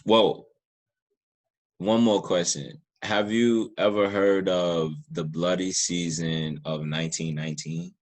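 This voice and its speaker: A man's voice: deep voice, fairly even intonation, slow. A man hosting a casual interview, talking in an earnest, informal way and often repeating himself. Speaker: podcast host interviewing a guest.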